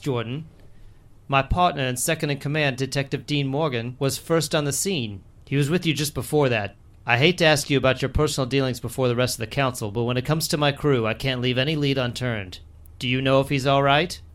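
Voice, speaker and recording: A man acting in an audio drama as an official police captain, speaking in a formal, measured, authoritative way. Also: medium pitch, a bit bright, close to an everyday voice. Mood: probing. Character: official, probing male police captain briefing a council.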